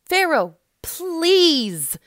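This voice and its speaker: A woman's voice: very high-pitched, very animated, extremely slow, a bit bright, dry recording, exaggerated voice. A woman voicing a hesitant, pleading Moses in a children's puppet show. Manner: timid, pleading.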